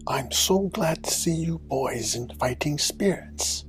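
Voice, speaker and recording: A man voicing a suave, devilish gentleman villain in a cartoon comedy, theatrical and drawling, with mock courtesy and a breathy, creaky voice. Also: fairly high pitch, expressive, slow, a little hiss or hum. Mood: smooth mock courtesy.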